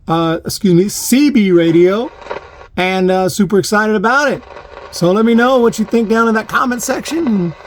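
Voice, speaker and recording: A man giving a casual hands-on demonstration, talking in a halting way as he thinks aloud, recorded on a phone inside a van. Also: sits high. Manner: halting, thinking aloud while handling equipment. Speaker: vlogger, amateur DIY installer. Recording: clear background noise, radio static and clicks. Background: CB radio static and knob clicks.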